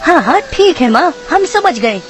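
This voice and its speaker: A cautious, clever little baby bird from a cartoon story, played by a woman. The childlike voice is high and swings emphatically, with faint music underneath. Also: sing-song, a little slow, heavily acted. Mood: agreeing.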